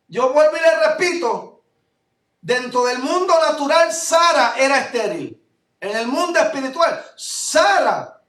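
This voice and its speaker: A male pastor from Puerto Rico with a Puerto Rican accent, preaching a fervent sermon that swings between loud declarations and low asides. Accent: Puerto Rican Spanish. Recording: echoey room, voice from far off mic.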